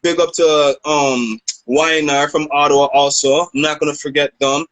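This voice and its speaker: A man's voice: a little high, fairly even intonation, normal speed, a bit bright, no echo, poor audio. A man in his thirties from Canada, a rapper guest telling his story in a laid-back, rambling way. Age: 30s. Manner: laid-back, rambling, repeats you know what I mean. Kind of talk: casual interview storytelling.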